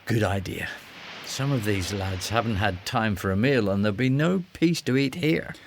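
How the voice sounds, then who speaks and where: An actor playing an ambitious, teasing, easily exasperated disciple in a Bible radio drama, with a breezy, self-assured, grumbling manner. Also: male voice, on the low side, lively intonation, normal speed, a little hiss or hum. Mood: matter-of-fact.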